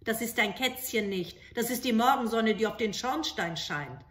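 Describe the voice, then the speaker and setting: A woman's voice: fairly high pitch, lively intonation, moderate pace, a bit bright. A woman voicing a harsh, heartless fairy-tale stepmother with a stern, commanding manner. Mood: scolding. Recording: roomy home recording.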